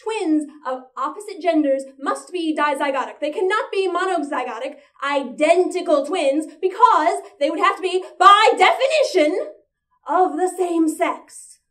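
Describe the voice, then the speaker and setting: A woman's voice: extremely high pitch, animated, unhurried, fairly bright tone, heavily acted. A woman playing an exasperated young woman disguised as her twin brother, lecturing impatiently. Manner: impatient, lecturing, spells things out. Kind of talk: audition monologue. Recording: voice distant from the mic.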